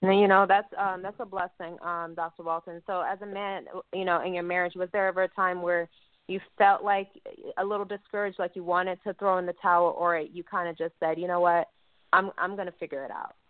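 A woman evangelist hosting a talk show interview, speaking softly and filling her sentences with "you know". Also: fairly low pitch, level tone, fairly quick, no room sound. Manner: soft-spoken, many "you know" fillers. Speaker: host and evangelist. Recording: dry, phone-like line.

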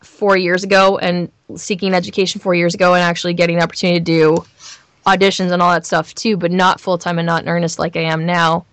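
A woman with a Southern California accent speaks in a fairly low voice, chatting candidly as an interviewed voice actor. She tells things expressively, repeating phrases for emphasis. The sound is close and dry. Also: fast, dry recording. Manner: animated storyteller, emphatic repetition, acts out imagined lines.

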